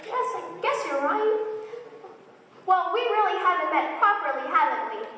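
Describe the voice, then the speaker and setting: A woman's voice: extremely high pitch, animated, normal speed, bright, forceful tone, slight background noise, heavily acted. A young woman on stage playing a kindly, curious, earnest farm girl in an amateur musical. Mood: kind, polite. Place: school stage, recorded from the audience area. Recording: echoey stage hall from far off, faint music.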